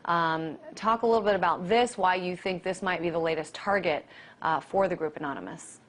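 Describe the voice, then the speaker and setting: A woman's voice: fairly low pitch, normal speed. A woman with an American accent, working as a news anchor, presenting reports and putting questions to a guest in broadcast style. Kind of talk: broadcast news delivery and live interviewing.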